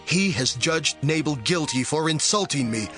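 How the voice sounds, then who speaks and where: Solemn biblical warrior leader over music. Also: male voice, fairly high pitch, animated, moderate pace, pushed and bright. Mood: solemn gratitude. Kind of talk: dramatized audio Bible acting.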